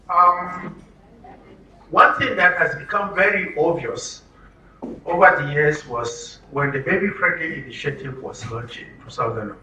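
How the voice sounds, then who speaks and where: A Nigerian man presents to a room in a bright, forceful voice, fairly high for a man. He is emphatic and likes to ask questions and answer them himself. The recording is roomy, with the mic some way off and faint noise. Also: recorded from a distance. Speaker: presenter at a health orientation for media. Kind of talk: interactive public talk. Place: room with an audience.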